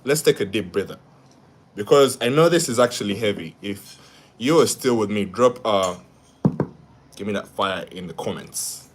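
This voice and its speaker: Halting man hosting a live stream. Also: on the low side, noisy background. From Uganda. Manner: halting, repeats words and restarts often; asks "yeah?" after each point. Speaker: livestream host. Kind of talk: live stream talk reading comments.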